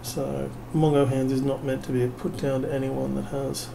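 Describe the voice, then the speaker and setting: A man's voice: mid-range voice, level tone, moderate pace, gravelly. A tired man, rambling wryly and self-mockingly in a late-night video while he builds a kit. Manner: self-mocking, wry and rambling, trailing off with fillers. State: tired, unable to sleep. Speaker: vlogger. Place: home at night. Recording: noisy home recording.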